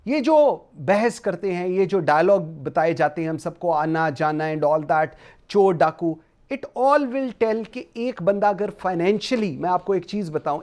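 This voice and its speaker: A man's voice: sits high, darkish tone. A man with a Pakistani accent hosting a political talk show, mixing in English phrases as he goes. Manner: switches between Urdu and English phrases.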